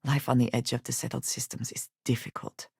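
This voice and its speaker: A woman voicing a guarded, devout space adventurer and loyal companion in a video game, speaking in formal, measured diction. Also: very deep voice, little pitch movement, vocal fry, darkish tone, slightly rough, dry recording, studio quality, full character voice. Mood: guarded, composed. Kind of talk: video game character dialogue.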